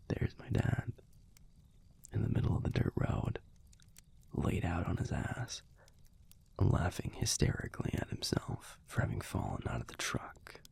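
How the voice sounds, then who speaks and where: A man playing a gruff but fond young werewolf boyfriend in an intimate audio roleplay, telling a story in a hushed, halting, husky and gravelly voice. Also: low-pitched, exaggerated voice. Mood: animated, amused. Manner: hushed, halting, conversational delivery with pauses.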